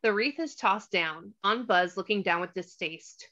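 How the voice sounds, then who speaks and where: A woman's voice: mid-range voice, unhurried, pushed and bright, dull audio. A woman narrating the stage directions of a screenplay in a scripted read. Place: remote online table read.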